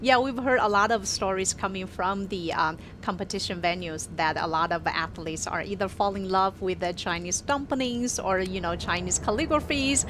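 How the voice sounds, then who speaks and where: A female host leading a live news talk show, asking relaxed questions full of fillers. Her voice sits at an ordinary pitch. A clear noise runs behind her. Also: moderate pace, hardly any pauses. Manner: conversational, hedging with fillers.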